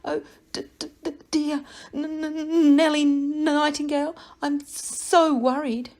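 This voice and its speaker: Woman putting on a high, jittery little male nightingale, stammering, whiny, close to tears, storybook. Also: very animated, a little slow. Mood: anxious, tearful. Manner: stuttering, whiny, tearful. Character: nervous young male nightingale, anxious worrier.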